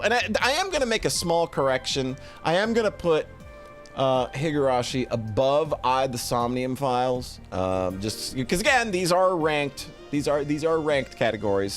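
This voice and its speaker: Man, lively swooping intonation, casual streamer commentary, halting self-corrections, music behind. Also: medium pitch. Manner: halting, with frequent self-corrections and repeated words. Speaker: streamer reviewing visual novels. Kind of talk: casual, unscripted ranking commentary.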